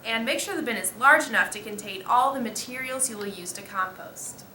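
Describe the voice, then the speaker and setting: A female student giving halting, scripted explanations as an expert guest in a mock interview. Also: fairly high pitch, bright, forceful tone. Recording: echoey room with faint noise.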